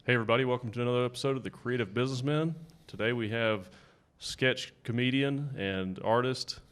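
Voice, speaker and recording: A man in his early forties hosting a casual podcast interview, friendly and quick with short yeahs. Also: mid-range voice, a bit bright, clear, resonant tone. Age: 40s. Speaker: podcast host.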